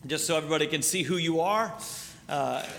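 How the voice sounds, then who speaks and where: A middle-aged man raised in Canada, a missionary preacher opening a sermon in a church, earnest and halting, with many fillers. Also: on the high side, vocal fry, big-room sound, very noisy. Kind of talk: sermon introduction. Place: church sanctuary.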